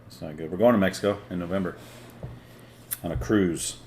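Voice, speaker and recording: A man hosting a podcast in casual chat, laid-back and easily excited, with lots of casual slang. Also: low-pitched, brisk, a little hiss or hum. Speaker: podcast host.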